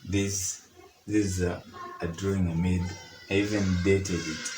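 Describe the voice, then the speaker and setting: Hoarse deep-voiced young man, slow and flat. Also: echoey room, music in the background. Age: young adult. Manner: informal, chatty, frequent fillers. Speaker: artist. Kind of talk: casual art tutorial chat.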